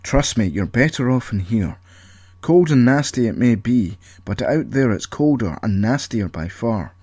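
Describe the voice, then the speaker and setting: A man's voice: mid-range voice, animated, a little slow, a little mellow, husky, no echo. A man voicing a cross, secretive inventor in an audio story, irritable, protective and exasperated. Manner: snappish, exasperated.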